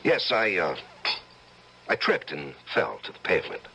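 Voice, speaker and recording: A man acting in an old-time radio drama as an outwardly genial, secretly murderous middle-aged husband, theatrical and deliberate in his speech. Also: low voice, wildly swooping pitch, gravelly, pushed and bright, breathy edge, exaggerated voice. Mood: genial, evasive.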